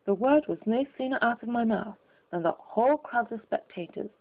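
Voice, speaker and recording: A woman reading a literary story as an audiobook narrator, in a measured, formal storytelling manner. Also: on the high side, lively intonation. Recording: narrow, phone-like lo-fi audio.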